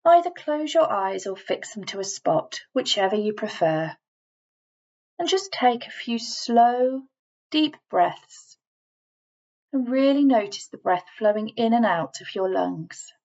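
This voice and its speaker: A woman reading a scripted guided relaxation in a measured, instructing manner, leaving deliberate pauses between phrases. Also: fairly high pitch, expressive, darkish tone, lo-fi sound. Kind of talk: scripted guided meditation voiceover.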